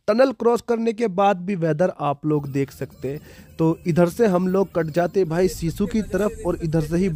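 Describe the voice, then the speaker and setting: A man's voice: high-pitched, mellow, no room sound. A man giving casual, informative narration of a mountain trip, calling viewers brother. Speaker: travel vlog narrator.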